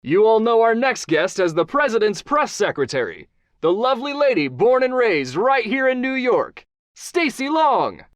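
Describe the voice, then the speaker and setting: A man voicing a charming, stylish male talk-show host who jokes and flatters his guest. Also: extremely high pitch, moderate pace, no room sound, clean studio sound, pitched above the performer's own voice. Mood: jovial. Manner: jovial, chummy, playful.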